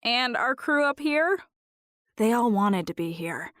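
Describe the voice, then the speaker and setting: A woman's voice: on the high side, animated, measured pace, fairly bright tone, no echo, clean studio sound. Sassy, breezy household greeter, dryly recorded woman actor. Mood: sassy, patronising. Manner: teasing, breezy, patronising endearments. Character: sassy, laid-back nonbinary human greeter in vampire household. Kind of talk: audio drama acting.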